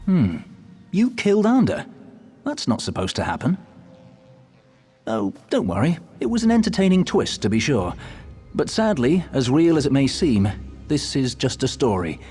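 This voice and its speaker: An actor playing a whimsical male storyteller in a video game, arch, amused and theatrical, with a husky voice. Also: medium pitch, sing-song, normal speed, long pauses, a little mellow, soft background music. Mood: amused, wry. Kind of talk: video game character dialogue.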